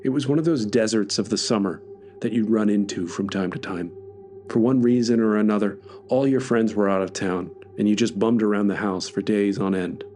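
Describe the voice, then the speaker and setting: Male horror podcast narrator, low pitch, scripted first-person storytelling over music. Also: normal speed, darkish tone.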